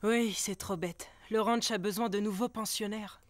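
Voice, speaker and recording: A woman's voice: medium pitch, steady tone, moderate pace, no echo, close to an everyday voice. A woman voicing an agreeable, keen youngster in a French cartoon dub.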